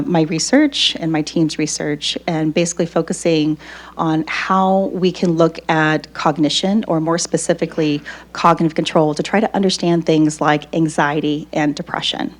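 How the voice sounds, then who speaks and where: A woman professor giving a psychology lecture, her voice still hoarse after losing it, talking conversationally and checking in with the audience. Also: low-pitched. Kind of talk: academic lecture.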